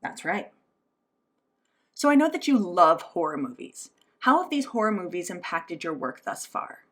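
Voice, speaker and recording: A woman's voice: on the low side, sing-song, moderate pace. Woman voicing an interpreted interview. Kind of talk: spoken interpretation of a signed interview.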